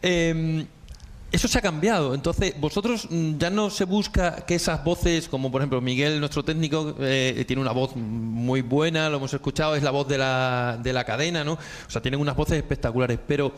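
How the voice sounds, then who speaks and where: A man's voice: on the high side, normal speed. Chatty male radio host. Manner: chatty, self-deprecating, lots of fillers. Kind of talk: moderating a panel discussion.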